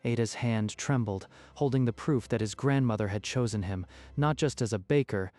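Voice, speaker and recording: A man's voice: medium pitch, normal speed, darkish tone, no room sound. A man narrating a scripted dramatic story in a literary storytelling style. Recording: music behind the voice.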